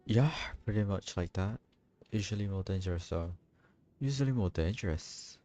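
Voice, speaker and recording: A man's voice: fairly low pitch, animated, moderate pace, dark tone, highs cut off. A man with an Indonesian accent, casually commentating as he streams a video game. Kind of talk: casual live gameplay commentary. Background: game music.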